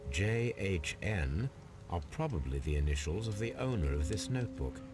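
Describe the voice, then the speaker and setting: An actor playing a calm, analytical gentleman detective in a video game, musing aloud in measured, precise deductions. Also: sits low, loud music behind the voice. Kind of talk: video game character voice acting, deliberate deductive monologue.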